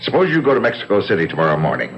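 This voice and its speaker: An actor playing a breezy, needling company boss in a 1970s radio drama, with a dry, brisk, teasing manner. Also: male voice, sits low, huge pitch swings, faint music behind. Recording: dull, faintly noisy 1970s radio broadcast.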